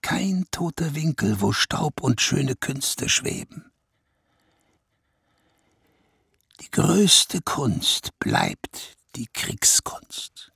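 An actor playing a proud, brooding, weary seventeenth-century ruler in a radio play, pompous in manner, with a gravelly, husky voice. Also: male voice, a little high, very animated, bright, forceful tone, no echo, clean studio sound, full character voice. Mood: grandiose. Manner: pompous, slow, speaks in royal we. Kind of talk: German radio play monologue.

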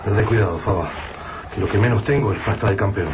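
A man's voice: on the low side, fast talker, dull audio, over loud music, close to an everyday voice. An actor playing an anxious everyman survivor in a radio drama, with a Rioplatense Argentine accent. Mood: wary. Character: anxious everyman husband and father, adult male survivor.

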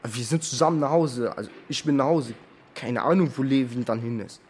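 A man's voice: mid-range voice, brisk, darkish tone, husky, natural voice. An actor playing a defensive young friend of a missing boy, slangy and blurting, in a naturalistic radio drama. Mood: defensive, agitated.

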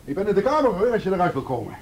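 A threatening, desperate husband in a radio play. His voice is pushed high and swings widely as he makes insistent demands, heard a little away from the microphone. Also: wildly swooping pitch, very fast, darkish tone, recorded from a distance, muffled recording, a little hiss or hum, exaggerated voice. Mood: defiant, agitated. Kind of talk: radio drama acting.

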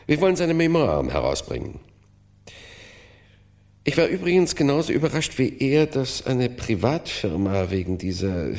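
An actor playing a suave, suspicious male publisher with a creaky voice and a deliberate, probing manner in a radio play. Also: lowish voice, a little mellow. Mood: guarded, smooth. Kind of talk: naturalistic radio play acting.

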